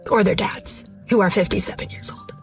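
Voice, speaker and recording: A woman's voice: mid-range voice, fairly even intonation, fairly quick, faint music behind. A woman in her early forties talking casually and confessionally, with a wry, exasperated, emphatic manner. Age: early 40s. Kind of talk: casual confessional monologue to camera.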